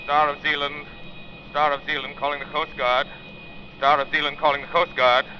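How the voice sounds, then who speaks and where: A man's voice: a little high, level tone, normal speed, lightly acted. A man playing a resolute, mysterious crime-fighter, clipped and declamatory in 1930s radio drama style. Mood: urgent, declamatory. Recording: muffled, noisy 1930s radio recording.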